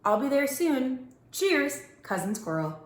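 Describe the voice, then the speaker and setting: A woman's voice: high-pitched, big pitch swings, a little slow, pitched above the performer's own voice. A woman voicing a friendly, eager male squirrel in a children's storybook, reading out cheerful letters in a chirpy manner. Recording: roomy, echoing indoor sound.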